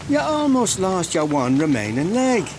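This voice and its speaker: Casting: actor as breezy Irish family man, lightish pitch, lively swings, noisy ambience. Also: normal speed, full character voice. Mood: cagey, breezy. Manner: breezy, colloquial. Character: cagey, folksy Irish family man, ex-convict on parole. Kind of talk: comedy radio drama acting.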